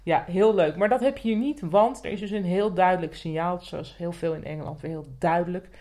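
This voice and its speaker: A woman's voice: fairly low pitch, a little fast, dark tone. A woman co-hosting a podcast, chatting casually in an inquisitive, conversational way. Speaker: podcast co-host. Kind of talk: casual chat.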